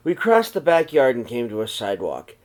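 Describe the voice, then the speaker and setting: A man reading a children's picture book aloud like a teacher, with expressive, dramatized storytelling delivery. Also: medium pitch, fairly quick. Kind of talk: read-aloud storybook narration.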